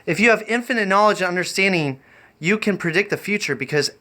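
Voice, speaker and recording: A man chatting off the cuff on a livestream, rambling and halting in an eccentric way. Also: high voice, expressive, pushed and bright. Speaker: live streamer and variety performer. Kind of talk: improvised livestream chat.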